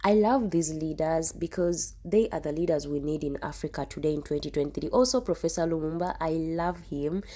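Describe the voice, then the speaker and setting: A young woman talks earnestly to her viewers in a casual reaction video, often repeating herself. Her voice is low and mellow. She stresses her points with conviction. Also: fairly quick, hardly any pauses. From Uganda. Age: young adult. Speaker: YouTube reaction host.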